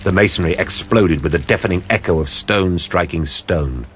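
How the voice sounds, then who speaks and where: An extremely deep male voice delivers dramatic scripted narration. He is grave and measured, as befits an audio drama storyteller. A faint bed of stormy noise runs behind him. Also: a bit bright.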